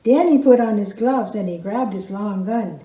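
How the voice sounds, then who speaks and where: Mellow woman reciting a folksy comic poem. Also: medium pitch, moderate pace. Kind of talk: rhymed folksy storytelling, performed recitation. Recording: roomy echo, dull sound.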